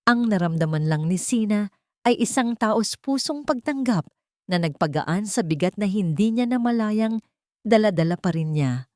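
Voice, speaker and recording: A woman from the Philippines narrating a romance audiobook in a gentle, measured storytelling manner. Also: medium pitch, expressive, dry recording, clean studio sound.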